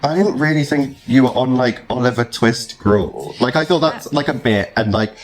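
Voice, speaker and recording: A podcast host in casual interview mode. The delivery is animated and emphatic, at a middling pitch, with an easy, chatty flow. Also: moderate pace.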